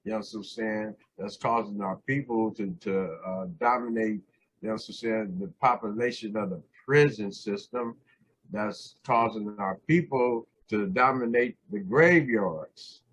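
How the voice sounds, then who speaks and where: Hoarse older man hosting, throat-clearing. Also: lowish voice, unhurried, fairly bright tone, low-quality recording. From California. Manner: loose, repeats "you know what I'm saying", frequent throat clearing. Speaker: host. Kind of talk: casual talk show monologue.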